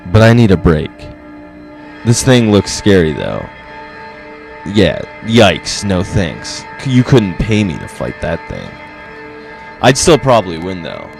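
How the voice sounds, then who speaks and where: A man's voice: sits low, gravelly, darkish tone, full character voice. A man playing a boastful, awkward monster-show host, rambling and defensive as he presents a mock documentary. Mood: blustering.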